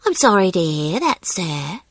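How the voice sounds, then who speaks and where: An actress playing a mannered, gossipy but dutiful office secretary in a video game. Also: female voice, on the low side, big pitch swings, a little slow, no echo, full character voice. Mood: polite, deferential. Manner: chatty, mannered.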